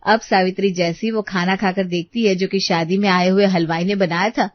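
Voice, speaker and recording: A woman's voice: medium pitch, steady tone, moderate pace, no room sound, dull audio. A woman storyteller reading a scripted moral tale as narration.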